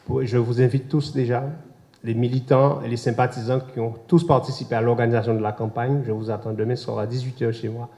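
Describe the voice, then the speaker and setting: A hoarse man, the mayor, giving a measured formal closing speech to an assembly. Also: medium pitch, little pitch movement, dark tone. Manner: measured, addressing an assembly with thanks and invitations. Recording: roomy echoing council chamber.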